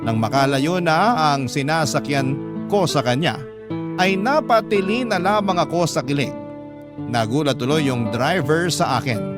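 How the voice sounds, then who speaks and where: Guy telling a love story first person, bit high voice, guitar music clear behind. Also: expressive, a little slow. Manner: confessional, storytelling. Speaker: narrator. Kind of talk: scripted first-person drama narration.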